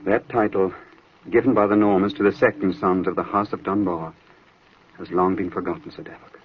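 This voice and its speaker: An actor playing an earnest, suffering young noble suitor, formal and pleading, in old radio drama style. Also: male voice, sits low, steady tone, brisk, husky. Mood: solemn, contrite. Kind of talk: 1940s radio acting. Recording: muffled old radio recording.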